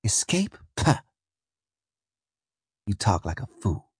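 A young enslaved woman, voiced by a male audiobook reader, speaks in a soft, husky voice. She is scornful and worn down, sneering with bitter resignation. The sound is close and dry. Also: on the low side, expressive, slow, no room sound, heavily acted. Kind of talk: audiobook character voice.

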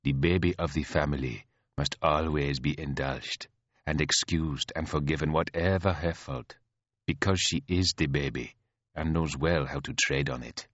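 A man voicing a calm, imperious elder queen in an audiobook story, patient and chiding with quiet menace. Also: a bass voice, moderate pace, dry recording, dull audio, pitched below the performer's own voice. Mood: mild scorn. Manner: patient, chiding, like a teacher with a naughty pupil.

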